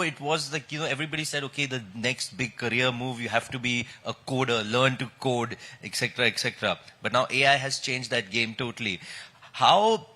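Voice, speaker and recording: A man's voice: medium pitch, sharp tone. A man, a Hindi speaker, moderating a conversational interview at a conference. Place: conference stage.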